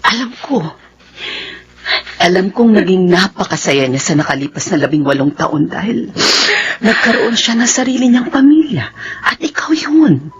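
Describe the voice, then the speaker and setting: A woman's voice: mid-range voice, big pitch swings, normal speed, breathy edge, lo-fi sound. An actress playing a kind woman confidante in a radio drama, consoling and reassuring with sincerity. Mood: consoling, sincere. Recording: radio drama with music underneath.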